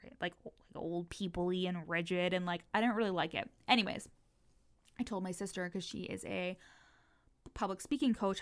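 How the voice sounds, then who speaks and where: A woman's voice: lowish voice, a bit bright. A young woman in her twenties hosting a casual solo podcast, who has a stutter, swears freely and fills her speech with "like". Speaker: podcast host and business coach.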